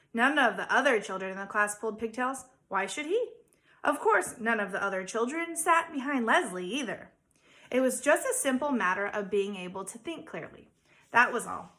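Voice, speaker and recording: A woman, a teacher, reading a children's story aloud with dramatic emphasis and theatrical pauses. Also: a little high, sharp tone. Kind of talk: expressive children's storybook narration.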